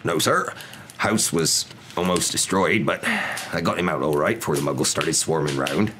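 A man voicing a huge, humble, tender-hearted storybook character with halting, rustic speech. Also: rumbling low, fairly bright tone. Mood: respectful. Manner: halting, stammering, rustic grammar. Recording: noticeable background noise.

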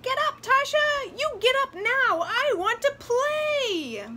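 A woman voicing a bratty little girl doll come to life in a storybook, shrill, demanding and squealing out commands. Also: big pitch swings, slow, pushed and bright, slight background noise, exaggerated voice.

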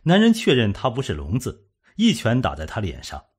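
A man with a husky voice reading a scripted suspense story as an audiobook narrator. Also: mid-range voice, wildly swooping pitch, normal speed, darkish tone.

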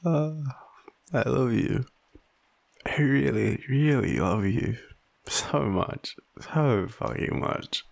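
An Australian man playing an overwhelmed, devoted, self-deprecating partner in an intimate audio roleplay, speaking haltingly with sighs. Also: mid-range voice, animated, gravelly, full character voice. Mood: pleading, loving. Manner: halting, hesitant, sighing.

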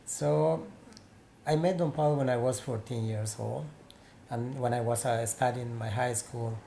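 Soft-toned Peruvian man in his forties. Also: mid-range voice, steady tone, vocal fry, a little hiss or hum. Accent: Peruvian Spanish. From Peru. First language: Spanish. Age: 40s. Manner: halting English with many pauses and restarts. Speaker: interviewee, painter. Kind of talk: casual interview. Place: small room with painted walls, indoors.

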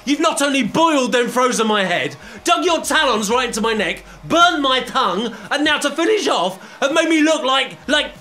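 Man acting a stammering, put-upon salon customer, very animated. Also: very high-pitched, normal speed, few pauses, heavily acted. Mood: outraged. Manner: hesitant, stammering, repeats words. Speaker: actor in an amateur audio play. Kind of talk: comedic radio-play acting.

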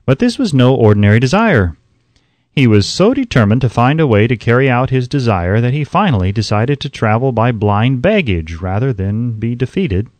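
Animated male audiobook narrator, very dry sound. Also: medium pitch, no room sound.

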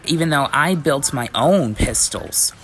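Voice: medium pitch, animated, a little slow, fairly bright tone, slight background noise, low-quality recording. A performer with a woman-like voice acting out an easygoing, dutiful werewolf police chief in scripted ASMR roleplay.

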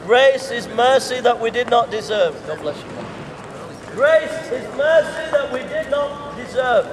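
A middle-aged man preaching to passers-by in a pedestrian street, repeating phrases in an emphatic, slow cadence, recorded from a distance. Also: extremely high pitch, animated. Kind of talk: open-air street sermon. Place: pedestrian shopping street outdoors. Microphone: far camera. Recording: roomy outdoor sound, distant reverb, clear street noise. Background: town street ambience and passing traffic.

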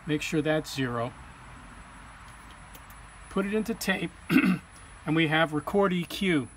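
A man's voice: fairly high pitch, lively intonation, slow, a bit bright. A man talking through an informal technical tutorial step by step, in a plain-spoken way as he demonstrates. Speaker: technician demonstrating equipment calibration. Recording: clear background hum or ambient noise.

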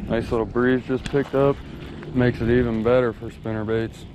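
A laid-back man talks casually while fishing at a lake, his speech unhurried and drawling, sitting at a middle pitch. It sounds like a head-mounted action camera outdoors, with a faint hiss of open air behind him. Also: flat delivery, lo-fi sound. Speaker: fishing vlogger.

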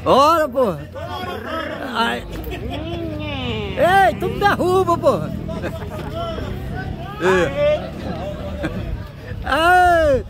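A man's voice: extremely high pitch, sing-song, extremely slow, long pauses. A man with a Northeastern Brazilian accent chatting casually and teasingly as he films outdoors, full of slangy exclamations. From Brazil, interior of Pernambuco. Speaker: amateur vlogger filming a street market. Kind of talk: casual chat while filming. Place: outdoor street market and town streets. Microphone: handheld phone, speaker behind camera. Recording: noisy outdoor street, crowd and vehicles. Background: market crowd, street noise, vehicles.

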